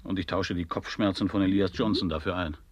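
A man's voice: low voice, steady tone, a little fast, no room sound, dull audio. An actor playing an uneasy, evasive, grumbling man in a radio play, with dry, terse, irritable delivery. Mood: grudging, dry. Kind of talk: absurdist German radio play acting.

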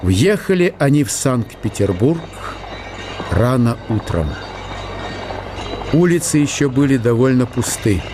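A man reading a literary historical story as a radio narrator, in measured short phrases. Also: mid-range voice, sing-song, dark tone, slight background noise. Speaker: narrator of a radio audio play.

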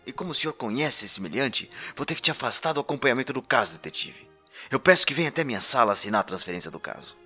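Man acting sharp, animated, menacing police captain. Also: mid-range voice, fast, faint music behind. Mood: cold, secretive. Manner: pompous, interrogating, theatrical. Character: menacing, sarcastic, corrupt male police captain. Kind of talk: comedic audio drama acting.

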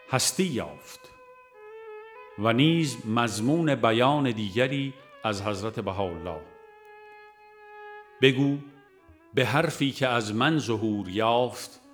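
A man with a medium-pitched voice narrates a devotional book. His delivery is formal and measured, like recited scripture, with pauses between phrases. Instrumental music plays faintly behind him. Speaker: audiobook narrator.

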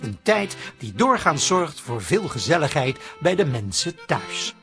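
A wry storyteller introduces a radio pub comedy. He speaks slowly with very lively pitch over clear accordion music. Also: full character voice. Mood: wry, measured. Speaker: narrator. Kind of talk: scripted radio drama narration. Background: accordion and harmonica music.

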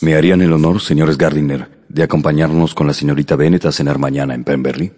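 An actor playing a courteous, reserved wealthy gentleman with formal, polite speech in a radio drama. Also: very deep voice, steady tone, fast.

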